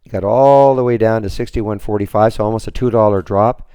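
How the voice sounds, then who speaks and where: Hoarse man rambling through a trading recap. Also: fairly low pitch, brisk, warm tone, no echo. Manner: rambling, many restarts and fillers. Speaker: trading room moderator. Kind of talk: screen-share trading recap.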